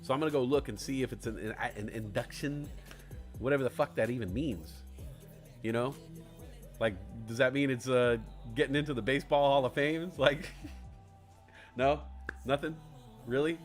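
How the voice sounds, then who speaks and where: A man's voice: moderate pace, pauses often, soft background music. A young man, hosting a live stream in a loose, profane, rambling chat full of jokes. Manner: profane, rambling, jokey, swings from low mumbles to loud shouts. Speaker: livestream host.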